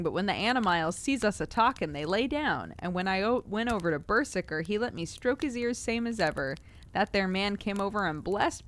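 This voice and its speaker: A woman voicing a gruff working-class male zookeeper, dropping his h's in a slow drawl. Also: mid-range voice, a bit bright, natural voice. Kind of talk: reading a Victorian tale aloud.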